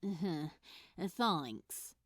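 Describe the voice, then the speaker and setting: A woman playing a grumpy, exhausted man in a story, mumbling in a hesitant, awkward and flat manner. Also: on the low side, very slow, no room sound, studio quality, exaggerated voice. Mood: awkward, weary.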